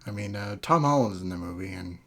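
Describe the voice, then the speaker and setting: A man's voice: lowish voice, animated, brisk, a crowd in the background. A man in a casual, opinionated podcast chat, sweary and jokingly exasperated, often laughing. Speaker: podcast host.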